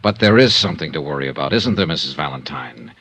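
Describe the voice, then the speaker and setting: An actor playing a shrewd, tough insurance investigator, narrating and talking in a clipped, matter-of-fact 1950s radio noir style. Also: male voice, very deep voice, lively intonation, fast, fairly bright tone, exaggerated voice. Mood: probing. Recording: muffled vintage radio recording.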